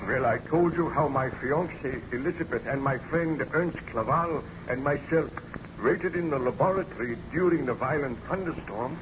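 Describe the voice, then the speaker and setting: An actor playing an obsessed, weary baron and scientist in a theatrical, declamatory old radio drama style. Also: male voice, medium pitch, moderate pace, natural voice. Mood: tense narrating. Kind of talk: 1930s-40s radio serial acting. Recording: muffled, noisy old radio recording.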